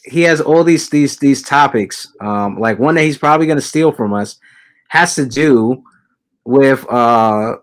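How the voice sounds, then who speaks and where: Male podcast host, average pitch, jokey ribbing tone. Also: unhurried. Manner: joking, teasing, laughs often. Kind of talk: casual podcast chat.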